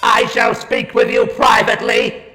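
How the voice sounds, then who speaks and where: A man voicing a ruthless, imperious alien war machine with a grating, staccato, shouted delivery through a robotic filter, in a sci-fi audio drama. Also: very high-pitched, little pitch movement, unhurried, full character voice. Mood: menacing. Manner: shouting, commanding. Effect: robotic ring-modulated voice filter. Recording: echoey reverberant hall, music behind.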